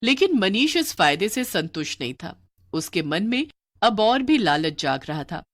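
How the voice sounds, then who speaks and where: A woman's voice: medium pitch, sing-song, a little slow. A woman reading a scripted story as its narrator.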